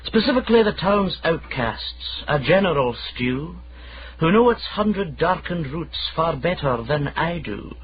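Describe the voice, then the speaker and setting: An actor playing a Scottish poet reciting dramatic, brooding verse in a radio drama. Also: male voice, fairly high pitch, animated, a little slow, crowd noise. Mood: brooding, declaiming. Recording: muffled radio recording under music.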